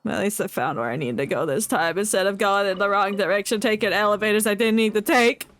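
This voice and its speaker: A woman's voice: mid-range voice, fast talker, a bit bright, dry recording. A woman streamer giving casual live commentary on a game, joking and heckling sarcastically. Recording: game music behind the voice.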